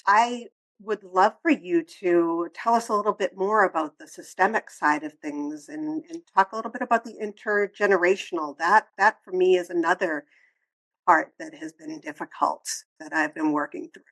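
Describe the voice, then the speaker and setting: A woman hosting a podcast interview, giving calm, measured explanations and then sharing her own experience. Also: fairly low pitch, dry recording, rough recording. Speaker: podcast host.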